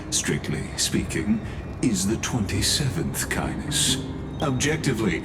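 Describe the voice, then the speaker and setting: A man voicing a grave, reflective young hero in a video game, with a measured, analytical, stern delivery. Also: low-pitched, noisy background. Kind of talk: video game voice acting, inner monologue. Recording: loud music behind the voice.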